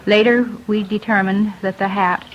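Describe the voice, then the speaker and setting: A woman's voice: medium pitch, steady tone, normal speed. An American woman giving a formal, factual statement as a lab technologist. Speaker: laboratory medical technologist. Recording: dull, faintly noisy old radio recording.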